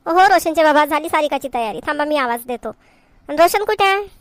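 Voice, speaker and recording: A woman's voice: high-pitched, lively intonation, brisk, no echo. A woman voicing a dutiful village mother in a cartoon family comedy, with a rural Maharashtrian accent. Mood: agreeable. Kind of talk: homemade cartoon comedy dialogue.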